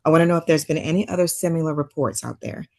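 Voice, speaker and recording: Woman acting a low-voiced, composed weary therapist. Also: fairly even intonation, racing, dark tone. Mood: resolute. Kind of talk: naturalistic table read acting.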